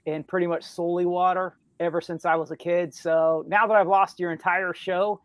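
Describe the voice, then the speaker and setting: A man with a squeaky voice chatting casually as a guest author on a podcast. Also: flat delivery, normal speed, dry recording. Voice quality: high, squeaky voice.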